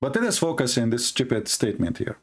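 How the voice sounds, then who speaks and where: A man's voice: medium pitch, very animated, quick pace. A man whose first language is Arabic, hosting a heated debate and reading from texts on screen in a mocking, combative way. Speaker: debate host. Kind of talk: screen-share debate commentary.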